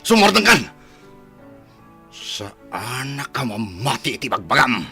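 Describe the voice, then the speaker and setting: A man's voice: mid-range voice, sing-song, slow pace, creaky, sharp tone, full character voice. A man playing a volatile, wildly swooping Ilocano son. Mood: angry rejection. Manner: explosive, indignant outbursts. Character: defiant, hot-tempered young man rejecting his mother. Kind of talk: Ilocano radio drama acting. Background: faint background music.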